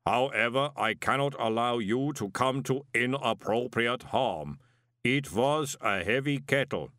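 Guy playing a huge rumbling golem, talks real slow n formal, stern moral type, audiobook, very dry sound. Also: a bit bright, dry recording, lightly acted. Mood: formal, calm. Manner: slow, formal, measured speech. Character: impassive male clay golem, huge, literal-minded, sternly moral. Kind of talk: audiobook character voice.

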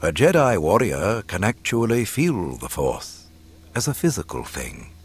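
A man voicing a wise, calm old mystic mentor in a storybook, speaking gently and with measured care. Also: lowish voice, breathy edge, full character voice. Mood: instructive.

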